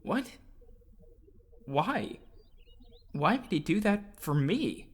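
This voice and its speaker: A man's voice: sits high, big pitch swings, very slow, pitched above the performer's own voice, exaggerated voice. A man playing his guarded, reluctant eighteen-year-old self in an audio drama, with terse, unsure delivery. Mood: surprised.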